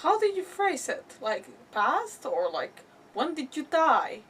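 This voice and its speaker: A woman's voice: sits high, huge pitch swings, slow pace, a bit bright, distant mic, a little hiss or hum. A woman live-streaming a game, commenting in an informal, reactive way and rambling through her explanations. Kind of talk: casual live let's-play commentary.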